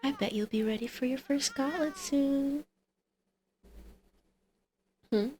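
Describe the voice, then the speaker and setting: Woman acting a teasing, flirty villainess, higher-pitched voice. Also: takes it slowly, darkish tone. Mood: tender, playful. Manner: teasing, coaxing, sardonic. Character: flirty, teasing female villain and doting mother. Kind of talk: ASMR roleplay acting.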